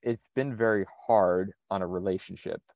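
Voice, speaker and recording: A man from the United States, speaking in a relaxed conversational interview, thinking aloud with frequent restarts. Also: low-pitched, normal speed, no echo, muffled recording. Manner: reflective, methodical, frequent restarts. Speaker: sailor and interview guest.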